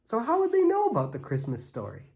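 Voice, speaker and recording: Man putting on indignant know-it-all girl, pitched up. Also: huge pitch swings, fast, a little mellow, heavily acted. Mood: scornful. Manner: exasperated, scornful.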